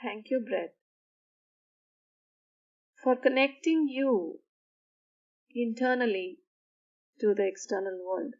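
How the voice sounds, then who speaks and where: Woman, meditation guide, fairly high voice, soothing and measured, short pauses, slightly dull recording. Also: darkish tone. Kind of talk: guided meditation for sleep, slow instruction.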